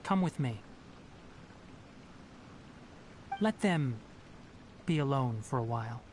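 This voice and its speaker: Man acting a calm, analytical young scholar. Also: mid-range voice, a little hiss or hum, natural voice. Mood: somber. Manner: measured, articulate. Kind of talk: anime video game dialogue.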